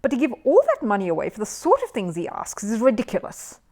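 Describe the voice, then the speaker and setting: A woman's voice: fairly high pitch, wildly swooping pitch, fast, a little mellow, exaggerated voice. A woman voicing a grumbling, sceptical middle-aged father in a children's storybook, with an emphatic manner. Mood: disapproving.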